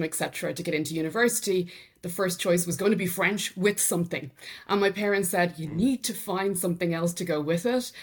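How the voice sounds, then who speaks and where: A middle-aged woman with an Irish accent, a podcast guest telling her story in relaxed conversation, laughing easily. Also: on the low side. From Ireland. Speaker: podcast guest, sales enablement executive. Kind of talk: conversational interview.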